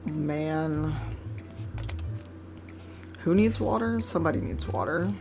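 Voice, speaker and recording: A woman streaming a game, chatting casually with a hoarse, croaky voice and a wry, chatty manner. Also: deep voice, slow pace, over music. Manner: chatty, wry, reads chat aloud. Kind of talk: casual live-stream chat while gaming.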